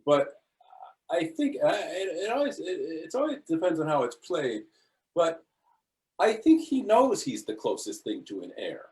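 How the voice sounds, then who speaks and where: Halting, thoughtful man on a panel. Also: a little high, very animated, darkish tone. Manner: halting, repeats words as he thinks aloud. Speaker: actor on a discussion panel. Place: remote video call.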